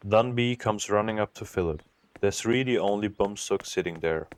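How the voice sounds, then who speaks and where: A male narrator with a deep, low voice. He reads scripted audio drama narration, telling the story in the third person. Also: normal speed.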